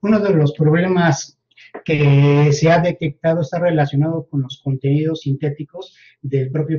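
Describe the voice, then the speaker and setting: A man giving a formal academic presentation in wordy sentences, halting in places, over an online call. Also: a little high. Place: virtual conference video call. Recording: dull, band-limited online call audio.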